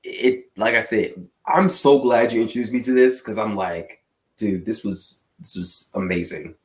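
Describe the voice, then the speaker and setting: A man's voice: fairly low pitch, measured pace. A man co-hosting a podcast in casual, friendly conversation about a show. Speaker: podcast co-host. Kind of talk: casual chat.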